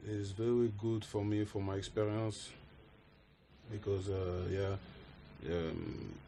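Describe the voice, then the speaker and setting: A man, answering interview questions hesitantly with many pauses. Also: lowish voice, fairly even intonation, warm tone, a little hiss or hum. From France. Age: 20s. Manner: hesitant, many pauses and fillers, simple phrasing. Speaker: MMA fighter guest. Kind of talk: interview answers in halting English.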